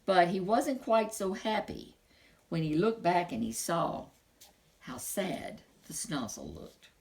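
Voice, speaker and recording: Animated woman reading a children's story. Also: on the low side, slow, gravelly. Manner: expressive, dramatic phrasing. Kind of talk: children's picture book read-aloud.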